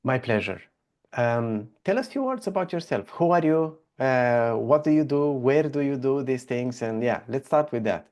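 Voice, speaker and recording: Male interviewer, Romanian accent, casual and friendly, phrases come out haltingly. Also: mid-range voice, expressive, darkish tone. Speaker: podcast host.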